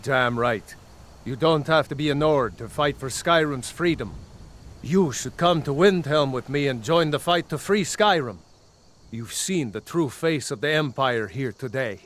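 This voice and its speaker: An actor playing a loyal, earnest, plain-spoken rebel soldier in a fantasy video game. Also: male voice, medium pitch, measured pace, fairly bright tone, close to an everyday voice. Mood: earnest, persuasive. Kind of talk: video game dialogue acting.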